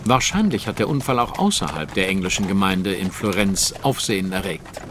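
An actor playing a cool, analytical and self-assured detective in a German audio drama, with precise, formal diction. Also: male voice, deep voice, animated, normal speed, a bit bright, a little hiss or hum.

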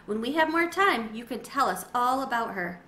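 A woman's voice: on the high side, lively intonation, moderate pace, pushed and bright, voice pushed up. A woman reading the part of a kindly, firm female schoolteacher in a storybook, encouraging and orderly. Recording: roomy echo, faint background noise.

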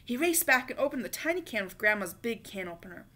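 A woman reads a children's storybook aloud. Her voice is high and bright, with big expressive swings. She leans on key words and plays up the drama. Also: fast talker. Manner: animated, emphatic, acts out dialogue. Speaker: storybook reader. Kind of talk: expressive read-aloud of a children's picture book. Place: small living room, indoors on a couch.

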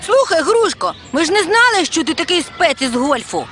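Excitable high-pitched cartoon boy. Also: normal speed, a little hiss or hum, heavily acted. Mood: easygoing. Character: excitable, naive little lemon boy, cartoon. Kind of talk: animated cartoon dialogue. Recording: music behind.

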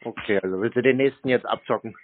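A man's voice: medium pitch, quick pace. A man chatting casually on a call, unfazed and nonchalant, with a dryly provoking way of talking. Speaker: scam-baiter. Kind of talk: casual phone conversation. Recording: dry, narrow phone-like sound.

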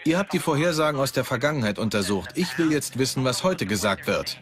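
A man's voice: mid-range voice, lively intonation, fairly quick, music in the background, close to an everyday voice. A man speaking in a dubbed documentary voice-over, in the part of an investigator. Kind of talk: German documentary voice-over dub.

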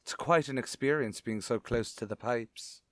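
A man playing a sinister, gloating organist and murderer in an old-time radio suspense play, speaking in a deliberate, theatrical way. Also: medium pitch, fairly bright tone, no room sound, studio quality, lightly acted. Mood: suave menace. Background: music.

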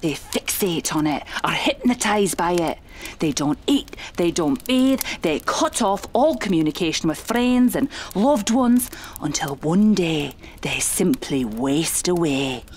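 A woman playing a seasoned, genteel spiritualist medium in a radio play, speaking in a measured, dramatic way. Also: on the low side, expressive, darkish tone, a little hiss or hum. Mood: ominous.

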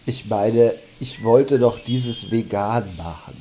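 A man's voice: medium pitch, slow, mellow, a little hiss or hum, natural voice. A man acting a sulky, doubting Adam in a comedic audio drama. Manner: whiny, exasperated. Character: Adam, a sleepy, grumbling, doubting first man.

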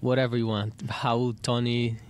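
A man in his thirties answering reporters after a game at a desk mic, halting with many fillers and restarts. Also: mid-range voice, level tone, a little hiss or hum. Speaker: basketball player at press conference. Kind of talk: post-game press answers. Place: arena press room.

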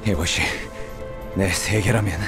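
Haunted game soldier, low pitch, halting, subdued, weary, loud music behind. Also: male voice, fairly even intonation. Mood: resigned. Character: haunted, resigned male soldier, weary of a futile life.

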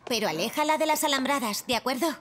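A woman's voice: high voice, expressive, fast talker, crowd noise. An actress voicing a capable, kind young woman firefighter in a cartoon dub. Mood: brisk. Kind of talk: cartoon dubbing.

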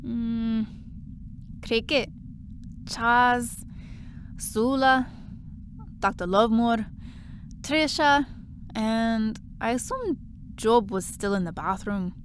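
A woman's voice: on the high side, extremely slow, long pauses, a little hiss or hum. A woman playing a breezy, blunt young part-time worker in an audio drama, chatty and offhand. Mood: chatty, hesitant.

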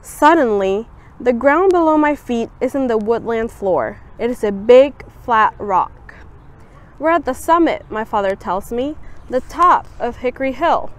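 Young woman, higher voice, expressive picture-book read-aloud, outdoor camera recording. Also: a little slow. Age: young adult. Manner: expressive storybook reading with lively voiced quotes. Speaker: student volunteer reader. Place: outdoors on a campus bench.

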